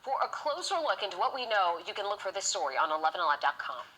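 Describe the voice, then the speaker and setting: A woman anchors a TV newscast, reading her script in a polished, steady way. Her voice is bright and clear. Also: medium pitch, quick pace, rough recording. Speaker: TV news anchor.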